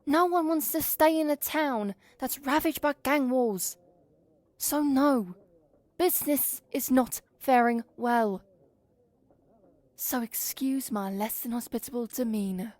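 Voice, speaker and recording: A woman acts out a young high elf who runs a fantasy inn. Her voice sits fairly high, and she speaks formally, with a weary, curt edge suited to audio drama. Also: takes it slowly, darkish tone, dry recording. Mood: irritated, tired.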